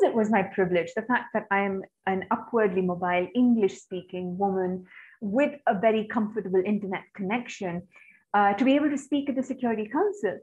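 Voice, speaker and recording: A soft-voiced woman from India, answering a webinar question in an earnest, reflective, articulate way over a video call. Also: medium pitch. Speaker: guest speaker. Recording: slightly dull video-call audio.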